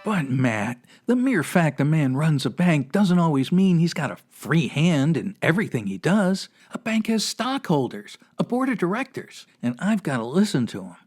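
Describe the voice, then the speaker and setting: A man's voice: on the high side, sing-song, normal speed, hardly any pauses, soft background music. A man playing an apologetic, pressured small-town banker in a radio western, pleading and justifying himself. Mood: defensive, pleading. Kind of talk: old-time radio western acting.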